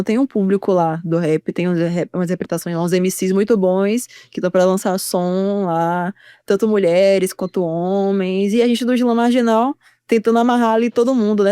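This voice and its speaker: A young woman talks about her work as a podcast guest, earnest and sure of her words. Her voice is mellow and smooth, with a close, dry sound. She speaks fluently and with conviction. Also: mid-range voice, no echo. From Itacaré, Bahia, Brazil. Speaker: guest artist. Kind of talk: podcast conversation.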